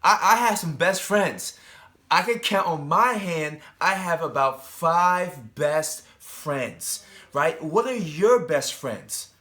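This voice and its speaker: A man's voice: a little high, expressive, slow, sharp tone. A man who teaches, reading a picture book aloud to young children, with chatty asides and questions put straight to them. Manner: addresses young children directly, asking questions.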